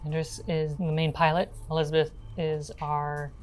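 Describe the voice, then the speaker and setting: A woman's voice: low-pitched, flat delivery, moderate pace, slight background noise, close to an everyday voice. A woman playing a solemn, hesitant young girl survivor in a tabletop horror roleplaying game. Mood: hesitant, matter-of-fact.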